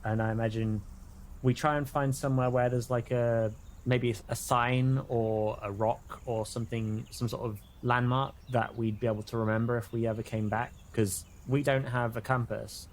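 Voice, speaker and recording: A man's voice: mid-range voice, little pitch movement, moderate pace, slight background noise. A man with a British accent playing a tabletop role-playing game, thinking aloud in casual, hesitant chat.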